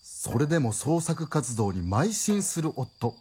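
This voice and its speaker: A man's voice: on the high side, big pitch swings, slow, darkish tone, slight background noise. A man acting as a formal storyteller, narrating a radio melodrama in polite, theatrical, old-fashioned phrasing. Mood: solemn, storytelling. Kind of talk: scripted radio drama storytelling.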